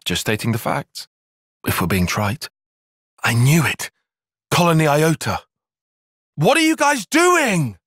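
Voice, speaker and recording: A man's voice: a little high, sing-song, slow, long pauses, a bit bright, no room sound, clean studio sound, full character voice. A man with a British accent playing an earnest, analytical young soldier in a video game, speaking in formal, precise phrases. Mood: earnest, grave, then alarmed, urgent. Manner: formal, precise, bookish wording. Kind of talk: video game cutscene acting.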